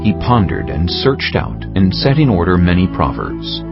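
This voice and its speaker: A man's voice: low voice, sing-song, normal speed, creaky, muffled recording. A man giving a scripted narration of scripture for an audiobook. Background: loud background music.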